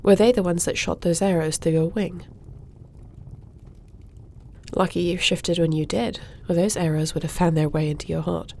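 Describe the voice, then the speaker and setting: A woman playing a guarded, dutiful young warrior princess in a fantasy audio roleplay, speaking formally and gently. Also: fairly low pitch, steady tone, moderate pace, vocal fry, pauses often, slight background noise. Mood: calm, probing. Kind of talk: audio roleplay fantasy acting, addressing listener.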